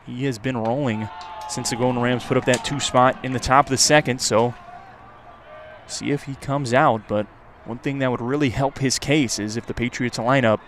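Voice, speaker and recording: A man's voice: medium pitch, steady tone, moderate pace, a bit bright, slight background noise. A man calling a college baseball game live as a play-by-play radio announcer.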